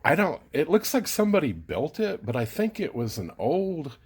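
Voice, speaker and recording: A man talking casually and unscripted as a vlogger showing gear, rambling and halting as he thinks aloud. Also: on the high side, very animated, slightly rough. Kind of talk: casual unscripted show-and-tell.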